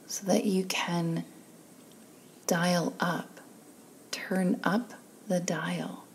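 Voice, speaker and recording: A woman leading a guided meditation in a soft, breathy, calm and soothing voice, leaving long pauses between phrases. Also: on the low side, slight background noise. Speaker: guided relaxation instructor.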